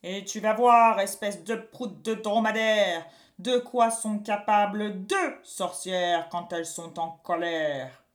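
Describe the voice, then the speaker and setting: A woman voicing a boastful, scolding witch from a children's story, with drawn-out taunts and silly insults. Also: mid-range voice, natural voice. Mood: menacing.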